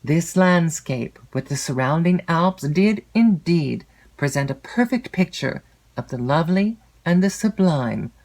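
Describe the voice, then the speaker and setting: Woman narrating a classic novel, measured delivery. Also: deep voice, animated, a little mellow. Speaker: audiobook narrator.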